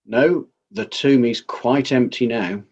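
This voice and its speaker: Guy playing firm wealthy councillor testifying, lowish husky voice, slightly muffled recording. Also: takes it slowly, darkish tone. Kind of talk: dramatized courtroom testimony.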